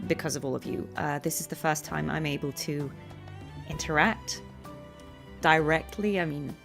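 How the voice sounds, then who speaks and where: A woman's voice: deep voice, moderate pace, gravelly, a bit bright, faint music behind. A woman chatting casually and affectionately to viewers on a livestream from home, giggly and chatty. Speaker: streamer and voice actress.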